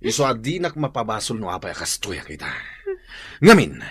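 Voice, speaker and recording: A man's voice: lowish voice, huge pitch swings, brisk, breathy edge, exaggerated voice. A man playing a hot-tempered, hard-drinking gambler husband in a radio drama, jeering and accusatory. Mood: bitter, accusing. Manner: explosive shouting, jeering, accusatory. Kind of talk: Filipino radio drama acting.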